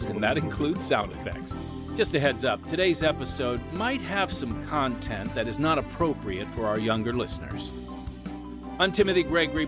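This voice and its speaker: An upbeat man introducing a drama podcast episode from a script. His slightly high voice is very animated in pitch. Music plays clearly beneath him. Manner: upbeat, emphatic presenting. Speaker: podcast host. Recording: music playing under the voice.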